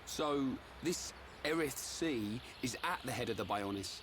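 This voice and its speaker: Actor as burly young sidekick, informal and blunt British speech, swinging intonation, slight outdoor noise, game cutscene. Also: male voice, medium pitch, lively intonation, measured pace, close to an everyday voice. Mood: casual, curious. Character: brash, big, blunt young male fighter sidekick. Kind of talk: video game cutscene acting.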